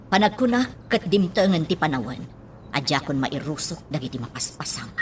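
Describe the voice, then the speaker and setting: An actress playing a weary, long-suffering wife, pleading emotionally in a radio drama. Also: female voice, sits low, big pitch swings, normal speed, slight background noise. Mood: pained, resigned.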